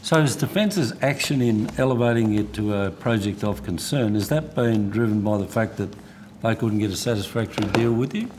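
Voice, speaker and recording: A man's voice: lowish voice, normal speed, a little mellow. Blunt Australian man with noise behind him. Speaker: senator questioning officials at a hearing. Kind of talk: parliamentary questioning. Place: committee hearing room.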